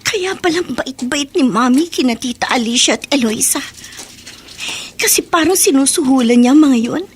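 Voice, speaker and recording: A woman's voice: high voice, lively intonation, moderate pace, a little hiss or hum. An actress playing a headstrong, bitter runaway teenage girl in a radio drama, emotional and thinking aloud. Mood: bitter, resentful. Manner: emotional, talking thoughts aloud. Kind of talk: Tagalog radio drama acting.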